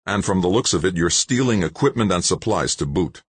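A man's voice: sits low, lively intonation, brisk, husky, no room sound, voice pushed up. A man voicing a cocky, battered male tycoon on the run, wry and defiant, in an audiobook story.

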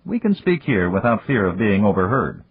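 A man voicing a burly older Japanese business magnate who speaks in a formal, measured way. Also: fairly low pitch, big pitch swings, heavily acted. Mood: grave. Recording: dry, phone-like, husky.

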